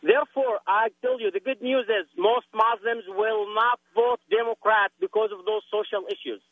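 A man's voice: high voice, fairly even intonation, moderate pace, dry recording. A man from Palestine, calling in to a radio show, earnest and insistent, often repeating himself. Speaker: caller. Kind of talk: phone-in call. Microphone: telephone. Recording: tinny phone line.

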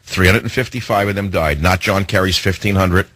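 A man's voice: normal speed, a bit bright, no room sound. Indignant male talk radio host. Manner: sarcastic, indignant, theatrical pitch swings.

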